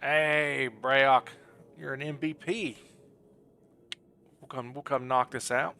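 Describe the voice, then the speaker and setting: Bright-voiced man chatting on a stream. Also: mid-range voice, measured pace, creaky, slight background noise. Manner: friendly, rambling, thinking aloud. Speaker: game streamer. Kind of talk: casual livestream chat over gameplay.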